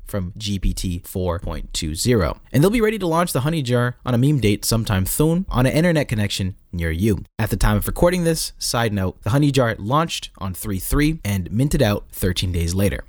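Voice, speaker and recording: A man narrates a scripted article in a lively, swooping delivery. His emphasis is playful and theatrical, and the recording is dry, with very little room sound. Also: lowish voice, fairly quick, few pauses, no room sound.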